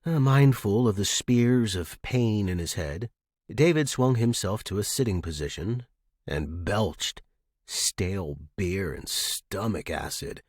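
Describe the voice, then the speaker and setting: A man presenting and narrating as host of a fiction podcast, reading in an expressive storytelling style. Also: on the low side, slow, no echo, clean studio sound.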